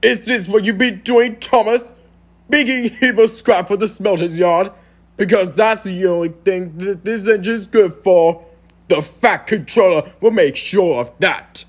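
A man voicing a boastful, scornful male steam engine in a cartoon audition, with a pompous, theatrical, sneering delivery and a raspy, gravelly voice. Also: high-pitched, measured pace, exaggerated voice. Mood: triumphant, scornful.

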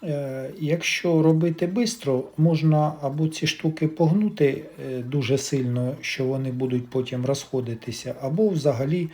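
A man giving a casual, step-by-step repair demonstration, thinking aloud in an unhurried, explanatory way. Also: a little high, mellow. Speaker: electronics repair hobbyist narrating.

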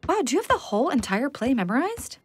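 An actress playing a bossy, scatterbrained cartoon amateur thespian who gushes theatrically. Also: female voice, high-pitched, very animated, quick pace, a little hiss or hum. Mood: flustered. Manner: overdramatic, gushing. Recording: music behind the voice.

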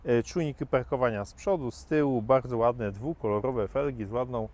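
A man's voice: mid-range voice, moderate pace, a little mellow. A man presenting a used car at a dealership, talking off the cuff in an upbeat sales manner with lots of hesitation fillers. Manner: hesitant, many "e" fillers, upbeat sales talk repeating praise. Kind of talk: unscripted car walkaround presentation. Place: car dealership lot and inside the car.

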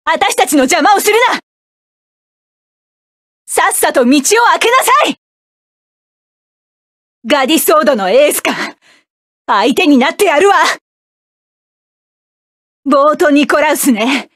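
A woman voicing a fierce, commanding young mech pilot in a game, with forceful battle shouts and clipped commands. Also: sits high, lively intonation, unhurried, long pauses, dry recording, studio quality. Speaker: voice actress. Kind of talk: game voice clips.

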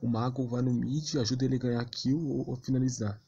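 A man's voice: medium pitch, fairly even intonation, brisk, mellow, husky, highs cut off. A man with a Brazilian accent giving casual, slangy, blunt gaming commentary with mild cursing. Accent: Brazilian Portuguese. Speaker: gameplay streamer.

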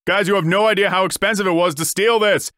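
A man's voice: high-pitched, fairly even intonation, fast, a bit bright, no room sound, studio quality. A man voicing a cocky, dim, teasing teenage anime tough guy in a comedic parody dub, rambling in slang with goofy bravado. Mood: needling.

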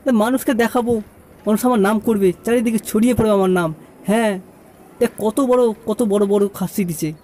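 A man's voice: high-pitched, fairly even intonation, moderate pace, dark tone, natural voice. A man voicing an exclamatory, showy young nephew in an amateur cartoon. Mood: boastful, teasing. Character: showy young nephew, boastful, later remorseful.

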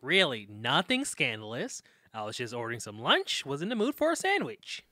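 An actor playing a calm, easygoing male roommate with a relaxed, wry way of speaking. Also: mid-range voice, moderate pace, no echo, clean studio sound. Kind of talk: audio drama acting.

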